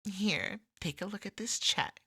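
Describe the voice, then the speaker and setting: Clingy, jealous cat person played by a woman for ASMR roleplay. Husky, unhurried, exasperated wit, close dry sound. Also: medium pitch, bright, forceful tone, no room sound, exaggerated voice. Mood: devoted, wheedling. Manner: wry, exasperated, wheedling. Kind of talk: scripted ASMR roleplay acting.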